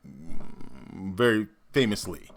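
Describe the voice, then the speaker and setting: A man giving casual, theatrical reaction commentary as an actor and host, animated and demonstrative. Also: low voice, very slow, vocal fry.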